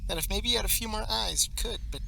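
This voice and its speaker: A teenage male actor playing an intense, needling man in a stage play, swinging theatrically between loud and hushed delivery, with obsessive fervor. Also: high voice, fast, vocal fry, exaggerated voice, poor audio. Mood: wistful, dreamy. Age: teens. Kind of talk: stage play monologue, projected theatrical acting. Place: high school theatre stage. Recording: live stage recording with faint noise.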